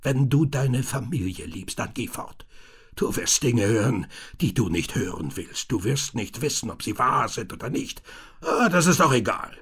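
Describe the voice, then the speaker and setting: A man's voice: mid-range voice, lively intonation, normal speed, fairly bright tone, slightly rough, voice pushed up. A man voicing a weathered, haunted old tinker who speaks urgently in a raised voice.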